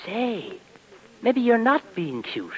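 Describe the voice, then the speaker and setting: A man playing a roguish Irish crook in a 1950s radio drama, with an Irish accent, a husky voice and a folksy, sly lilt. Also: sits high, wildly swooping pitch, slow, heavily acted. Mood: sly, blithe. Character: roguish Irish crook who chases stolen loot. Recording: vintage 1950s radio recording.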